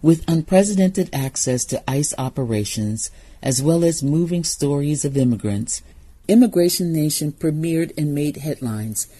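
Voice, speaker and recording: A woman hosting a radio program, reading scripted narration in a measured, deliberate way. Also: low voice, lively intonation, mellow, breathy edge. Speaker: radio host.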